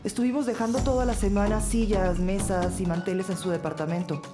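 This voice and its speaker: An actress playing an imposing but courteous female school principal with a deep voice in an audio drama, music behind her. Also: fairly even intonation, brisk, natural voice. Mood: courteous, regretful. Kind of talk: scripted audio drama dialogue.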